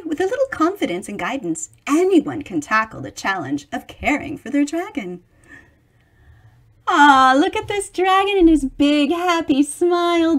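Very animated, high-voiced woman reading storybooks. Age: middle-aged. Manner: theatrical, exclaiming, stretching words for young listeners. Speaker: children's storybook reader. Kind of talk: expressive read-aloud of a picture book with chatty asides on the pictures.

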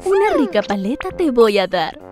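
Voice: mid-range voice, wildly swooping pitch, moderate pace. A performer voicing a kind, eager cartoon helper, speaking in rhymes for small children. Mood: kindly. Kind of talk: rhyming children's cartoon dialogue. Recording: loud music under the voice.

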